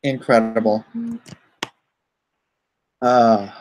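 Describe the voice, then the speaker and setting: A man hosting a livestream in a casual, chatty way, informal and given to excited exclamations, often halting as he goes. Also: lowish voice, poor audio. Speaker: livestream host and comic artist. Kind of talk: casual livestream chat, reacting and thanking viewers.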